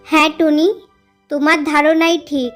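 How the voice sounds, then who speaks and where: A woman as high-voiced, commanding cartoon fairy queen. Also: flat delivery, normal speed, soft background music, natural voice. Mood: grave. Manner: authoritative, instructive. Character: powerful fairy queen, solemn, commanding, protective. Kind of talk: children's cartoon voice acting.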